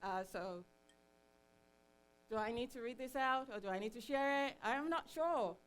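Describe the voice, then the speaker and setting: A woman's voice: a little high, unhurried, smooth, rough recording. A middle-aged woman giving an informal live presentation at a meetup, chatty and self-deprecating as she addresses the room.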